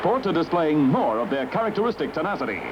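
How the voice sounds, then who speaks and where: A man's voice: on the high side, big pitch swings, fast talker. A man with an Australian accent reading formal, florid scripted football commentary for a 1970s newsreel. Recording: dull, noisy archival 1970s newsreel soundtrack.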